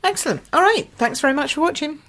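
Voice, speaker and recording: A woman from the UK, slightly croaky, demonstrating a papercraft project at her table in a chatty, think-aloud way. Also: high-pitched, expressive, fast, a bit bright, a little hiss or hum. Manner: chatty, thinks aloud with fillers and small apologies. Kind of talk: casual craft tutorial. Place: indoors at a craft table.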